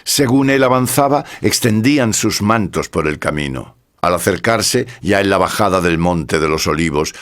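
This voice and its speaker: A man giving a scripted narration of scripture in a solemn, measured way. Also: sits low, lively intonation.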